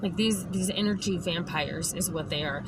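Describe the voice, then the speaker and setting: Hoarse woman ranting straight to camera. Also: lowish voice, moderate pace. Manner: emphatic, keeps adding "okay?". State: hoarse, lost voice. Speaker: vlogger. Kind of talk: direct-to-camera rant. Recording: background noise.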